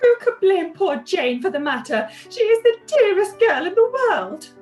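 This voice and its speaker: A woman's voice: very high voice, big pitch swings, moderate pace, a little mellow, highs cut off, faint music behind. A woman playing a sympathetic, genteel middle-aged aunt in a period radio play, exclaiming and commiserating. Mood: gushing sympathy.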